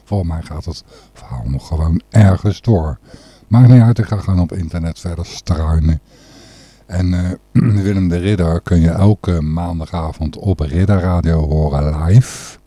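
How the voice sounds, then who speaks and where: A man presenting a podcast informally and unscripted, in a rambling, halting way. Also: low-pitched, mellow, breathy edge, dry recording. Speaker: podcast host.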